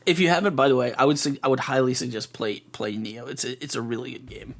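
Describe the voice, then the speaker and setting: A man chatting casually on a live stream, rambling through restarts and fillers, with a voice that is going hoarse. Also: medium pitch, fairly even intonation, fast talker. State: losing his voice.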